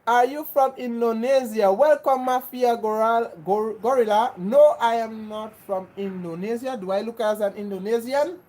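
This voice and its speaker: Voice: medium pitch, normal speed, hardly any pauses, a little mellow. Streamer talking to chat, preachy, keeps repeating itself, lots of restarts. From Grenada. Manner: earnest, repeats phrases, restarts often; preachy, insistent. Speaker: livestream host. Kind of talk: casual livestream chat answering viewers.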